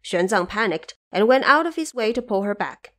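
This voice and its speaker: A woman reading scripted storybook narration of a classic tale in a measured, expressive storyteller's manner. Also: mid-range voice.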